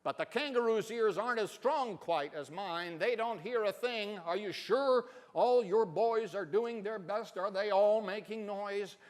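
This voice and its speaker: A kindly storybook elephant, voiced by a man, earnest and loyal. The voice sits high for a male and delivers dramatic rhyme in a hall with long reverb. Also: a little slow, hardly any pauses. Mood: earnest urging.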